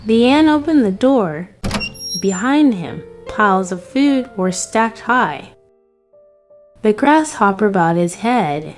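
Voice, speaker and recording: A woman's voice: mid-range voice, darkish tone. A woman narrating a scripted fairy tale for children as a storyteller.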